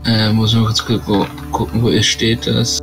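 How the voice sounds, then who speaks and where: A man chatting casually and haltingly as a player in an online tabletop game. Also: on the low side, flat delivery. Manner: halting, stammering. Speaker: tabletop role-playing player. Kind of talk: casual chat. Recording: guitar music behind the voice. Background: guitar music.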